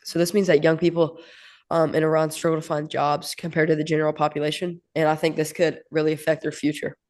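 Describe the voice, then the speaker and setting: A man's voice: a little high, little pitch movement, quick pace. A teenage boy co-hosting a student podcast, presenting hesitantly with frequent ums.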